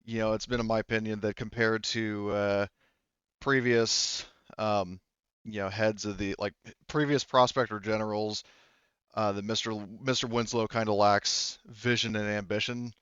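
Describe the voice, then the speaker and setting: A cautious old-hand prospector, voiced by a man at a game table, resigned and slow to commit. The voice is smooth and somewhat low, hesitating often, on a dry but dull recording. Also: steady tone, fairly bright tone, dry recording, close to an everyday voice. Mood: guarded. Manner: hesitant, searching for words. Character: cautious male veteran prospector, resigned, loyal to his job.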